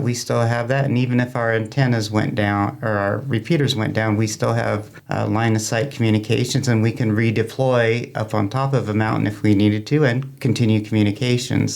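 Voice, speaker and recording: A man's voice: lowish voice, vocal fry, a little mellow. A man speaking as a guest in an interview, explaining things informally in a plain-spoken way. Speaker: guest, amateur radio club member.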